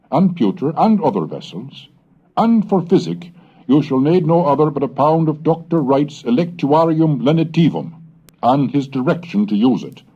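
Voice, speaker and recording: A man reading aloud as a Puritan colonist writing to his wife, measured and earnest, on a dull old cassette recording. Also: mid-range voice, mellow, breathy edge.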